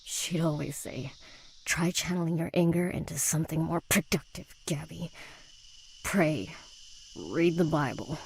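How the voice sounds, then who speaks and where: A woman's voice: low-pitched, unhurried, gravelly, darkish tone, slight background noise, low-quality recording. A woman playing an angry, sardonic, rebellious teenage schoolgirl in a video game, with a curt, sarcastic manner. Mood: bitter, sardonic.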